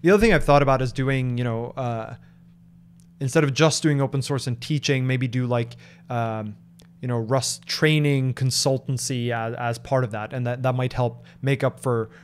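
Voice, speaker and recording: A man's voice: medium pitch, fairly even intonation, moderate pace, a little hiss or hum. Norwegian man musing through a livestream Q&A. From Norway. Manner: thoughtful, hesitant with many fillers and restarts. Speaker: streamer answering viewer questions. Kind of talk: casual live Q&A. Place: home office room. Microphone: large microphone on desk in front.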